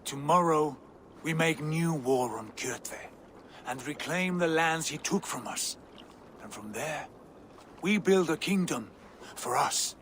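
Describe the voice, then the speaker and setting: An actor playing a proud, ambitious Viking chieftain's son with a hearty, commanding way of speaking in a game cutscene. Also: male voice, fairly high pitch, lively intonation, slow, a bit bright, slight background noise. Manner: hearty, commanding, grand speech. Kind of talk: video game cutscene acting.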